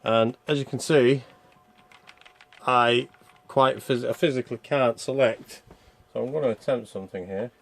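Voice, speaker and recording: Man rambling through gameplay, gravelly creaky voice. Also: mid-range voice, normal speed, pauses often. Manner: rambling, thinking aloud. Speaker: video game reviewer. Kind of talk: casual gameplay commentary.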